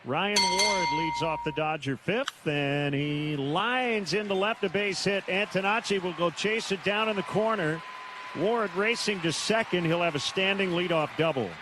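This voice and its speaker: A man with a fairly high voice calls a baseball game live for radio. He works as the play-by-play announcer from a stadium booth, with noticeable ambient noise behind him. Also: measured pace. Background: outdoor ambient stadium noise.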